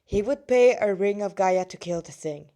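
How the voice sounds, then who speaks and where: A woman reads her own written story aloud in an informal, amateur manner. Her delivery is halting, with stumbles over words and quick self-corrections, and she slips between narration and casual remarks of her own. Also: medium pitch, animated, warm tone. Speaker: fan fiction writer reading own story.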